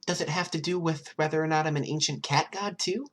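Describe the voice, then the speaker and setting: Casting: playful young villain girl played by a man, lifted pitch, chatty, teasing, excitable, audiobook character. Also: a little fast, close to an everyday voice.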